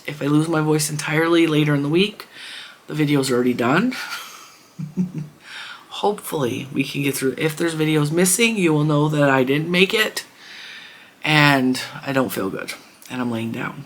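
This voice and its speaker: A woman hosting a crafting channel, chatting casually to her viewers in a hoarse voice, rambling and warm. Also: low-pitched. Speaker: crochet channel host. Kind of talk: casual chat to camera.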